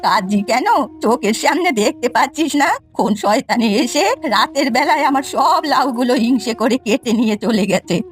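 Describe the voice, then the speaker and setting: A woman plays a quick-tempered, suspicious old granny from a village cartoon. The voice is high, full of scolding, curses and lament. Faint music runs behind it. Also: expressive, close to an everyday voice. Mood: wailing, bitter. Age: older. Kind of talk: cartoon folk-tale voice acting.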